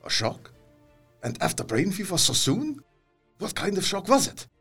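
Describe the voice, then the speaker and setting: An actor playing an effusive, courtly older foreign doctor in a gothic audio drama, with florid, earnest phrasing. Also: male voice, sits high, huge pitch swings, normal speed, a bit bright, slightly rough, faint music behind, exaggerated voice. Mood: concerned, probing.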